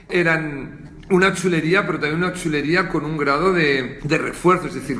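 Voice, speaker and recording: Casting: male interviewee, middle-aged, bright gravelly voice, explanatory delivery, noticeable room echo. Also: mid-range voice, a little hiss or hum. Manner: explanatory, frequent tag questions. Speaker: interviewee, prosecution lawyer recalling a case. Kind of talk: reflective interview recollection. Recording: very roomy, echoey interview sound.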